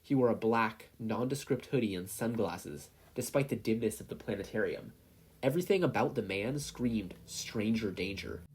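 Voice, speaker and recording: A man's voice: fairly low pitch, expressive, moderate pace, slightly rough, low-quality recording. A man reading a scripted story aloud as a fiction narrator. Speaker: podfic narrator.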